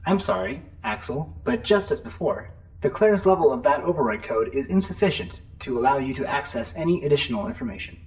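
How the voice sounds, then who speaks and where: A man's voice: on the high side, lively intonation, fairly quick, darkish tone, lo-fi sound. A man playing a formal, courteous and unhelpful shipboard computer in a science fiction audio drama. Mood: polite, unbothered. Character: polite, unhelpful male shipboard computer on a spaceship.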